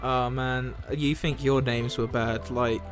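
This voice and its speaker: A man from the UK chats as a podcast co-host, quick to laugh and scattering "like" through his sentences. His voice sits at an ordinary pitch. Soft music plays in the background. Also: fairly even intonation. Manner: chatty, laughs often, many "like"s. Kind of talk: casual chat about tabletop games.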